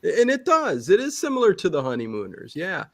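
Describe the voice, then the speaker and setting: A man's voice: sits high, wildly swooping pitch, a little fast. A middle-aged man chatting casually as an interview guest, talking emphatically and repeating words to stress his points. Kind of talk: casual chat.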